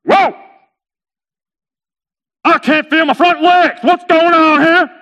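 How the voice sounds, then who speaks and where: Guy doing a talking camel for a funny story, laid back n folksy, kinda high voice, always wheedling. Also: very animated, moderate pace, sharp tone, muffled recording, heavily acted. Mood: alarmed. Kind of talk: comic oral storytelling.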